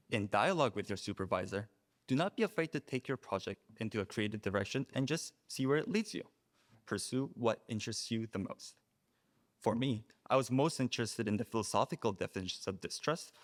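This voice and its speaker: A young man from South Korea, a student, giving an earnest, formal prepared speech at an awards reception. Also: lowish voice. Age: young adult. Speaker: student speaker. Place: reception room at a faculty club.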